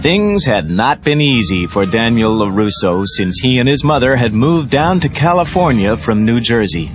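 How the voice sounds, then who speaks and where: Guy narrating a kids read-along story, lowish lively voice, old tape sound with music under it. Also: normal speed, lo-fi sound.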